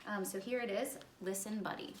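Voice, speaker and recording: A woman's voice: mid-range voice, steady tone, normal speed, background noise. Woman reading a picture book, echoey room. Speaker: picture-book reader, educator. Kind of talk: expressive children's story read-aloud.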